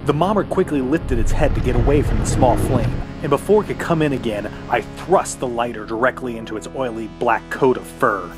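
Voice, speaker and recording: A man's voice: medium pitch, fairly quick, hardly any pauses, natural voice. A man playing a desperate, dying man trapped in the woods, narrating his ordeal intensely in a horror radio play. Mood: frantic, urgent. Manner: intense, swinging from weary musing to yelling. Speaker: lead actor and first-person narrator. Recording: music behind the voice.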